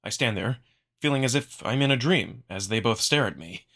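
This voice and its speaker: Male narrator, sharp bright tone, mid pitch, expressive first-person storytelling for a visual novel. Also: normal speed. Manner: expressive, acting out the narrator's feelings. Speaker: narrator, amateur voice performer.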